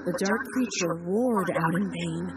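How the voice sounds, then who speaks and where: A woman narrating a fanfiction story in a measured, dramatic way. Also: lowish voice, background noise. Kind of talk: audiobook fanfic reading. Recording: distant voice over loud music.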